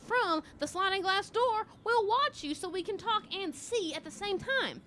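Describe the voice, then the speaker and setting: Woman, tabletop role-play player, high-pitched, bright forward voice, improvised in-character talk. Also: lively intonation, normal speed, a little hiss or hum.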